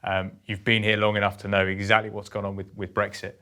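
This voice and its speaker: A man hosting a business podcast, chatting briskly and with self-deprecating humor about markets. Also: on the low side, monotone, sharp tone. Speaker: podcast host, company executive. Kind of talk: casual market discussion.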